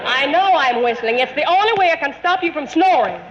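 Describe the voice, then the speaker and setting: An actress playing a nagging, exasperated, long-suffering wife in a 1940s radio comedy, scolding in a rapid, complaining way. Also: female voice, high-pitched, lively intonation. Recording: muffled, noisy vintage radio broadcast.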